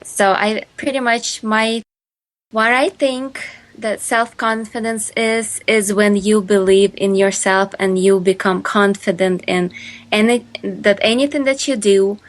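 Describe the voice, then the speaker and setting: A woman's voice: mid-range voice, fairly even intonation, slow. A woman from Ukraine with a Ukrainian accent, answering interview questions as a podcast guest, with many fillers and restarts. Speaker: guest entrepreneur.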